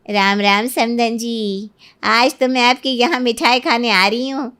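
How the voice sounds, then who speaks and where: A woman playing a smooth-talking, manipulative middle-aged mother-in-law in a Hindi cartoon story, persuasive and full of feigned concern. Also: a little high, fairly even intonation, measured pace, pushed and bright. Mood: sly persuasion.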